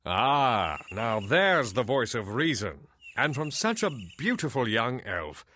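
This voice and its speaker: An actor playing an ancient, reclusive elf sage in a video game, sardonic and weary, with theatrical, deliberate delivery. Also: male voice, medium pitch, very animated, bright, forceful tone, a little hiss or hum, heavily acted. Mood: wistful. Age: older.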